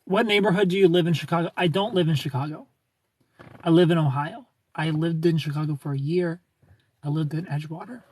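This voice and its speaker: Higher-voiced American man chatting on a noisy livestream. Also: fairly even intonation, brisk, a little mellow. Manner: joking, playful, informal with swearing. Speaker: live stream host. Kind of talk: casual chat, reading and replying to live comments.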